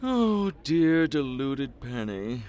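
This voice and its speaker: A man's voice: medium pitch, very animated, slow pace, darkish tone. Man playing a pompous, swooping superhero. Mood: smug. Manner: pompous, self-satisfied. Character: smug glory-hogging rich male superhero. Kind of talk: comic superhero audio drama.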